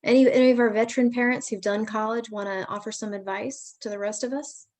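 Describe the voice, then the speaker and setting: A woman's voice: fairly high pitch, fairly even intonation, fast talker, a little mellow. A woman hosting a virtual parent information session, talking in a friendly, chatty, reassuring way over a video call. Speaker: pre-college program staff host.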